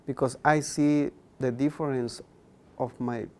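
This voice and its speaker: A man's voice: medium pitch, fairly even intonation, a little slow, dark tone. A young man, a Mayan speaker talking earnestly as an interview guest, repeating words for emphasis. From Guatemala. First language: K'iche' Mayan. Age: young adult.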